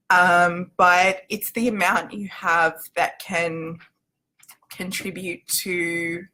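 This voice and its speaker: Bright-voiced Australian woman chatting informally. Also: lowish voice, rough recording. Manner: chatty, loosely structured, casual. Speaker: company representative hosting a livestream Q&A. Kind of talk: informal livestream explainer.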